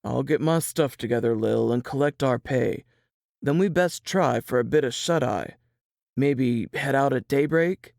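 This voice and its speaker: A man playing a protective, plain-spoken Southern piano player in a dramatized audiobook, with folksy period dialect and a creaky voice. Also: medium pitch, a little slow, a little mellow, no room sound, clean studio sound. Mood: practical, reassuring.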